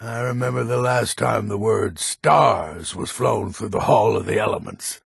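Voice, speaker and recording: An actor playing a grumpy, defiant old man in a fantasy video game, with a raspy, gravelly voice and a gruff, theatrical, emphatic delivery. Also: lowish voice, measured pace, dry recording, studio quality, exaggerated voice. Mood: secretive, grave. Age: older.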